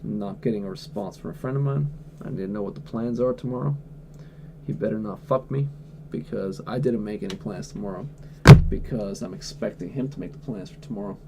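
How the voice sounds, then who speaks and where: A man's voice: on the low side, fairly quick, vocal fry, background noise. A man livestreaming from home, answering chat questions in a blunt, offhand way and swearing freely. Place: at home indoors.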